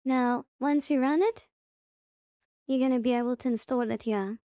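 A woman presents a casual software walkthrough in a high, mellow voice. She instructs one step at a time and circles back to remind the listener of key points. The sound is very dry, with no room around it. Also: moderate pace, smooth, no room sound. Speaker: tutorial presenter. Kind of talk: casual software tutorial narration.

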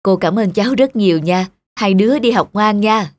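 A woman voicing a kind, grateful mother of a schoolgirl in an animated cartoon. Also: medium pitch, moderate pace, no room sound, natural voice. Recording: music behind the voice.

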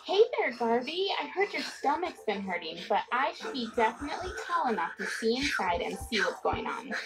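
A woman plays a confident, helpful female truck creature from a kids' cartoon. The voice is on the high side, expressive and reassuring. Loud music plays behind it. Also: a little fast, creaky, poor audio. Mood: curious, helpful. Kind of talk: children's cartoon dialogue.

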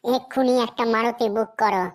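A bossy, gullible cartoon wife played by a woman with a fairly high voice. She speaks a rural western Bengal dialect in a theatrical, scolding way, as in a broad domestic comedy. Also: steady tone, a little fast, lightly acted. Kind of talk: comic cartoon dialogue.

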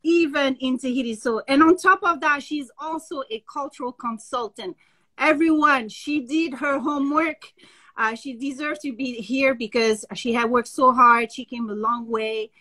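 A woman, hosting a live video-call interview, enthusiastic and halting with many fillers. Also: high voice, sharp tone. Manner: enthusiastic, hesitant, many uhs. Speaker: host. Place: home office indoors. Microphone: laptop on a video call.

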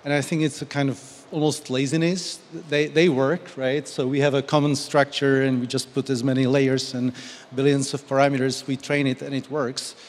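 A man in his forties, speaking in a measured, articulate way on a discussion panel into a handheld mic. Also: medium pitch, level tone. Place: large glass-walled hall. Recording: long reverb of a large hall.

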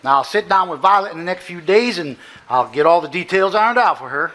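Actor as folksy Southern lawyer, higher-pitched. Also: male voice, lively intonation, quick pace, pushed and bright. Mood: businesslike. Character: folksy, discreet small-town Southern family lawyer. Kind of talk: live stage acting. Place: theater stage before audience.

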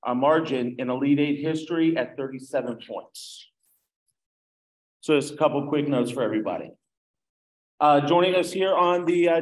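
An older man moderating a press conference from a podium microphone, brisk and procedural. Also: a little high. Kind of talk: formal announcing. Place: arena press room. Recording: echoey press room.

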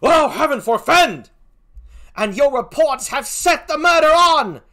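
A performer voicing an outraged, loyal and grieving gentlewoman with a put-on British accent, in theatrical Shakespearean acting. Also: sits high, very animated, a little slow, pitched above the performer's own voice, exaggerated voice. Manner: declamatory, impassioned, theatrical. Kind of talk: classical Shakespearean stage acting.

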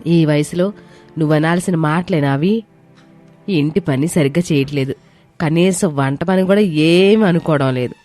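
A woman's voice: low-pitched, moderate pace, dark tone, natural voice. A woman voicing an irritable elderly mother-in-law in a family story, nagging and sarcastic. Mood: scolding. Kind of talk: dramatized moral story voice acting. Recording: music behind the voice.

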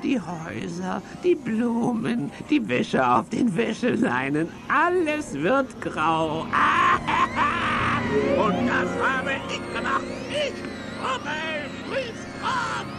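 An actor playing a grumpy, blustering little villain who cackles theatrically in a children's audio play. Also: male voice, extremely high pitch, big pitch swings, very slow, gravelly, over loud music, full character voice. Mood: gloating. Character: grumpy little cartoon villain gleefully greying the world.

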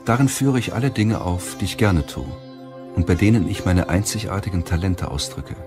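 A man narrating an audiobook in a measured, solemn way, reading from a script. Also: deep voice, lively intonation, music in the background.

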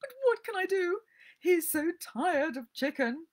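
A woman voicing a fussy wealthy older lady who dotes on her little dog, shrill and anxious. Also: expressive, measured pace. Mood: wailing.

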